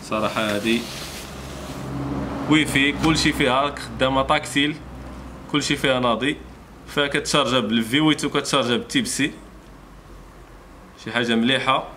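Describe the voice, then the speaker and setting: Fast-talking Moroccan salesman, noisy recording. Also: medium pitch. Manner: repetitive, salesman-like patter. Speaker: shop seller presenting products. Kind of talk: informal product presentation. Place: small motorbike accessory shop, indoors. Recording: clearly noisy shop ambience.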